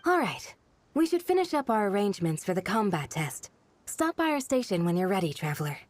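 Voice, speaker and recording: A woman playing a courteous, practical village guardian in a video game, speaking in a measured, formal way over music. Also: mid-range voice, big pitch swings, slight background noise, close to an everyday voice. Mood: gracious. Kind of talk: video game character acting. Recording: faint music behind the voice.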